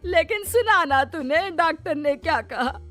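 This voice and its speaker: Woman acting a slow, high-voiced theatrical old mother-in-law. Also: lively intonation, sharp tone, faint music behind, heavily acted. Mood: cheeky, wheedling. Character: dramatic, demanding, cheeky old mother-in-law. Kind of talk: Hindi cartoon moral story acting.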